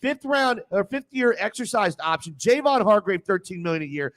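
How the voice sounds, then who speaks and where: Male sports panel host, somewhat high voice, blunt, combative, quick to interrupt. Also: expressive, a bit bright. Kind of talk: heated sports debate and banter.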